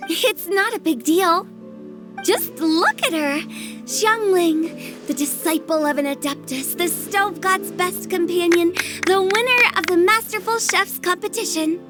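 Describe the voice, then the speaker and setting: Cheeky teen girl, game dub, very high voice, breezy, theatrical host, light music. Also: unhurried. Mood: teasing, insistent. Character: cheeky, ceremonious teenage girl funeral parlor director. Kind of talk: animated game dub acting.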